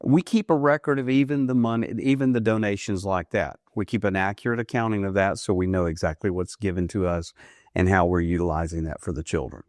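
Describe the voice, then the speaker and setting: A man in his fifties from the United States, answering interview questions earnestly, often rising in pitch for emphasis. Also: fairly even intonation, fairly quick, darkish tone, dry recording, studio quality. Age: 50s. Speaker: guest, ministry leader. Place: studio.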